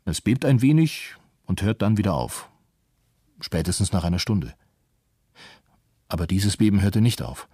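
An actor playing a parent recounting memories in a measured radio play monologue. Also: male voice, sits low, animated, mellow, husky, dry recording, clean studio sound, exaggerated voice. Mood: measured recollection. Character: Japanese parent recounting an earthquake day in measured detail.

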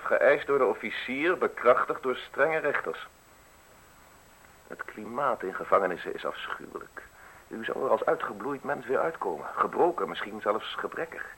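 An actor playing a suave, menacing justice official in a radio drama, speaking in measured, formal, insinuating phrases. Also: male voice, medium pitch, fairly bright tone, husky, poor audio. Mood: quietly threatening. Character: suave, menacing male state justice official offering a deal.

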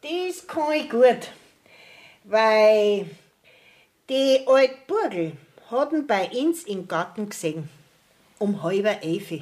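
A woman's voice: medium pitch, expressive, slow, pauses often, a little mellow. A middle-aged woman playing an indignant, stubborn village widow in a dialect radio play, scolding emphatically with a Bavarian accent. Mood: insistent. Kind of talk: dialect radio drama acting.

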